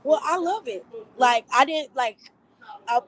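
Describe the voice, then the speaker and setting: A young woman with a raspy voice and a Southern accent, talking about her music in a relaxed interview. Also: high-pitched, measured pace, rough recording. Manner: relaxed, filler-heavy, upbeat. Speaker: rapper, interview guest. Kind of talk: casual interview chat.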